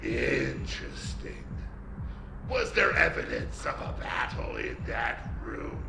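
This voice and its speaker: A man playing a deformed vampire leader in an audio drama, shrewd, formal and deliberate, with a gravelly voice. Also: medium pitch, wildly swooping pitch, roomy sound, music in the background, a crowd in the background, heavily acted. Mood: ominous, calculating.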